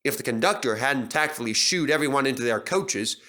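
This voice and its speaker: A man's voice: mid-range voice, animated, brisk, sharp tone. A man narrating a children's story as a scripted reading.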